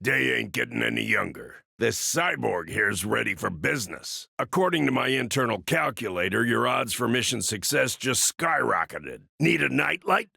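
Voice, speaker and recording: Gravelly, folksy old cyborg gunslinger. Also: male voice, medium pitch, expressive, normal speed, few pauses, pushed and bright, no echo, clean studio sound, exaggerated voice. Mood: cocky. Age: older. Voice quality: gravelly, raspy. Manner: folksy, wry, cocky drawl. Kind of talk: video game character voice lines.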